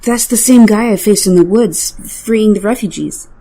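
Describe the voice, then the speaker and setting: A woman's voice: medium pitch, moderate pace, warm tone, close to an everyday voice, rough recording. A woman playing a bold, grimly determined dragon-riding warrior in an audio drama, giving terse, urgent orders. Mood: grim, insistent.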